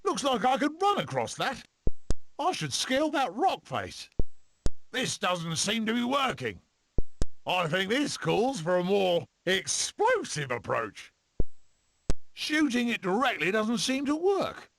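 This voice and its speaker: Manic weasel adventurer, wildly animated. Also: male voice, high-pitched, slow pace, gravelly, a little hiss or hum, exaggerated voice. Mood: playful, scheming. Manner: theatrical, eccentric, exclamatory. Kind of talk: video game character voice clips.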